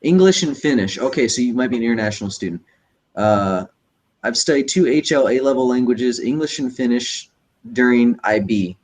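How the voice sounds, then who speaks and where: A man moderating a livestream Q&A, reading out student questions in a measured way. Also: mid-range voice, animated, darkish tone. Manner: measured, reads questions aloud slowly. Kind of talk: casual livestream Q&A.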